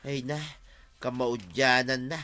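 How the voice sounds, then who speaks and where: An actor with an Ilocano accent playing a friendly, chatty village friend in a radio drama. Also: male voice, mid-range voice, takes it slowly, pushed and bright. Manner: familiar, friendly banter.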